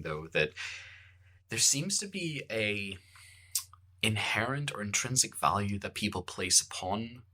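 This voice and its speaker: A man's voice: lowish voice, bright, forceful tone. A Northern Irish man hosting a podcast, chatting casually in a rambling, jokey way with lots of fillers. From Northern Ireland. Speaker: podcast host. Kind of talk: casual conversational interview.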